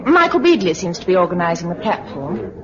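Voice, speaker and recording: A woman's voice: lowish voice, sing-song, brisk. An actress with a British accent playing a wry, self-possessed woman novelist in 1950s radio drama style. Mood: wry, observant. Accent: British English. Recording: muffled, noisy 1950s radio recording.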